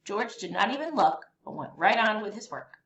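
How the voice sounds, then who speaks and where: A woman reading a children's picture book aloud as a storyteller, with expressive, deliberate phrasing for young listeners. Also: mid-range voice, gravelly, muffled recording. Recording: roomy echo, faint background noise.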